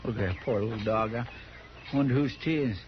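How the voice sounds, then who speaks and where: An actor playing a folksy, soft-hearted deputy with a rural American drawl in a 1950s radio western, homespun and deferential. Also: male voice, medium pitch, brisk, close to an everyday voice. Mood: subdued, sorry. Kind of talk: 1950s radio drama acting. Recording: dull, noisy 1950s radio recording.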